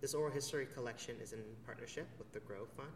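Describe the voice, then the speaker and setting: A man conducts an oral history interview in a measured, formal way, moving from a read introduction to gentle questions. He sits well away from the mic in an echoey theater hall with a long reverb tail. Clear room noise runs under his voice. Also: medium pitch. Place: theater venue. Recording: distant mic in a roomy, noisy hall.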